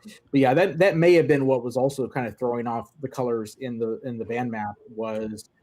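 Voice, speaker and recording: A man discusses radio logging software in a relaxed chat. He hesitates and searches for his words as he goes. His voice is mid-pitched and the sound is dry. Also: normal speed, no room sound. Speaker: ham radio operator. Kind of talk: casual technical chat.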